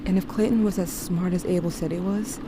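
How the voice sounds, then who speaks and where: Woman as low-voiced, guarded, grieving teen narrator. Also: fairly even intonation, brisk, noisy background, natural voice. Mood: wry, matter-of-fact. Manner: introspective, confessional, wry. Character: guilt-haunted, guarded teenage narrator grieving a dying loved one. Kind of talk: first-person audio drama narration.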